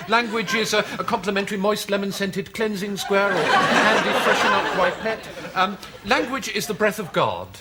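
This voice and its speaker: An actor playing a pompous, rambling middle-aged pundit who talks about language in florid, grandiose phrases. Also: male voice, high-pitched, moderate pace, hardly any pauses, a loud crowd, heavy background noise, close to an everyday voice. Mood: rhapsodic. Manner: florid, grandiose, self-delighted. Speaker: sketch comedy character. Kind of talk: studio sketch comedy.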